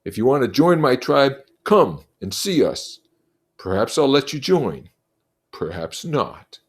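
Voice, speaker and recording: A boastful young tribe chief from a storybook played by a man. The voice is lifted in pitch and declaims with a taunting, commanding swagger. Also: very animated, slow, husky, full character voice.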